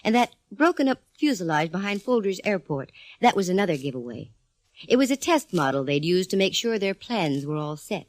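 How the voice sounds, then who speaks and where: A woman's voice: lowish voice, sing-song, brisk, dry recording. A sassy wisecracking lady private eye. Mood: breezy. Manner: breezy, wisecracking, amused. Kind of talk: 1940s radio acting. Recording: old radio broadcast with faint organ music.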